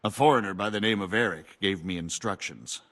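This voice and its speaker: An actor playing a scheming imprisoned knight who talks slyly and self-pityingly in a video game cutscene. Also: male voice, sits low, fairly quick, a bit bright. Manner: sly, wheedling, self-pitying. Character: scheming imprisoned robber knight, older man.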